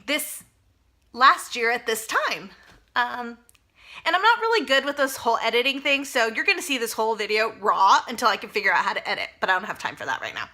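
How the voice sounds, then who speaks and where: A woman in her forties talks in a loose, rambling vlog style, full of fillers and asides. Her voice sits a little high and sounds bright and crisp. Spanish was her first language. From United States. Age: 40s. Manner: chatty, rambling, self-deprecating with frequent fillers. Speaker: vlogger. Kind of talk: casual personal vlog talk.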